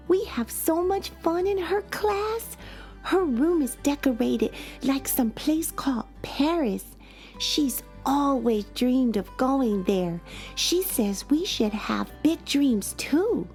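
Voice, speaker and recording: A woman acts out a young schoolgirl narrating a storybook, wistful and earnest. The voice is high and mellow with a creaky edge, gently telling things in a simple childlike way over music. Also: exaggerated voice. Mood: wistful, hopeful. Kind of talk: storybook read-aloud.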